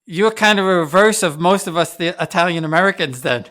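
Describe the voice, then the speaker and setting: A man's voice: high-pitched, fairly quick, bright, forceful tone. A man hosting an interview, asking questions in a rambling, conversational way with many restarts. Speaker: podcast host.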